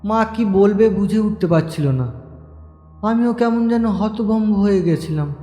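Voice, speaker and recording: Casting: actor as wounded young man narrating his tragedy, high but mellow voice, halting and confiding, audio drama. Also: lively intonation. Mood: nervous confiding. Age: young adult. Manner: halting, repeating phrases, confiding in listeners. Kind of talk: dramatic first-person audio story narration. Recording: echoey, long reverb over music.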